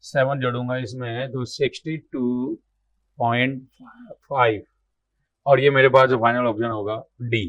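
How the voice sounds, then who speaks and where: A man with a slightly hoarse, mid-pitched voice walks through exam math problems one step at a time, talking to himself as he writes. The sound is dull and lo-fi, recorded dry and close with no room echo. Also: fairly even intonation, measured pace. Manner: explains aloud while writing, repeats numbers, asks and answers his own questions. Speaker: math teacher.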